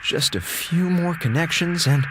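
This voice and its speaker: An American actor playing an aggrieved space station communications officer in a radio drama, with theatrical, sarcastic griping. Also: male voice, fairly high pitch, a little slow, background noise, close to an everyday voice. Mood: wry. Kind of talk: radio drama acting, comic log monologue. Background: music.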